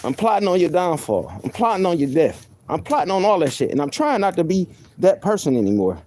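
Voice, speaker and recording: A man with a soft voice, venting on a live stream in a heated, profane way, often repeating himself. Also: sits high, sing-song, fairly quick, slight background noise. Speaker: livestreamer.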